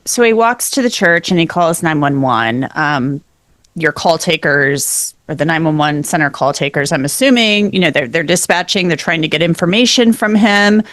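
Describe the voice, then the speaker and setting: A woman with a lowish voice conducts an interview in a conversational way. She thinks out loud and rephrases her questions. The recording is dry, with little room sound. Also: expressive, moderate pace, no room sound.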